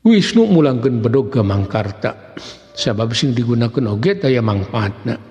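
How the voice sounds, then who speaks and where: A male storyteller with a Sundanese accent gives a dramatic scripted narration of a folk tale. His mid-pitched voice carries a long, hall-like reverb. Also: lively intonation, measured pace, a little mellow.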